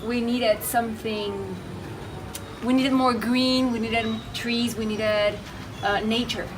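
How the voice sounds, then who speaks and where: Young woman guest, slightly high voice, off-mic with audible background noise. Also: little pitch movement, slow, distant mic. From Colombia. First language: Spanish. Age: young adult. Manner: warm, reflective, searching for words. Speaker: interview guest. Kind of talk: casual interview talk.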